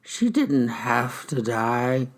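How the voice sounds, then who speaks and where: A man's voice: mid-range voice, sing-song, very slow, voice pushed up, full character voice. A man voicing a frail, grieving old widower in an audiobook story, with a thin, quivering voice and an accusing, indignant manner.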